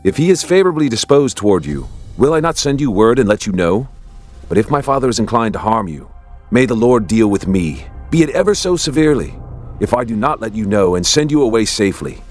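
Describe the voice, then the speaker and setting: An actor playing an earnest, loyal young prince who speaks with solemn emphasis in a dramatized scripture production. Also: male voice, fairly low pitch, very animated, a little fast, darkish tone, soft background music. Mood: solemn pledge. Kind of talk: dramatized scripture audio acting.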